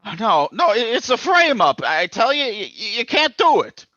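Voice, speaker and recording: Panicky radio-drama crook, male actor, stammering and pleading, very lively pitch, dry muffled sound. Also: normal speed, no room sound, exaggerated voice. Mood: panicked, pleading. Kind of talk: old-time radio drama acting.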